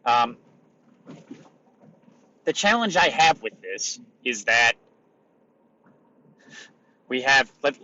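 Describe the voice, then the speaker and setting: A man hosting a solo motivational podcast, raspy today, talking in an emphatic, repetitive coaching way. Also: medium pitch, bright, forceful tone, lo-fi sound. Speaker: podcast host.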